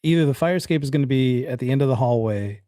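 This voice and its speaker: A man's voice: mid-range voice, fast talker, warm tone, no echo, clean studio sound. A man narrating as game master of a tabletop horror role-playing game, in a casual, teasing way.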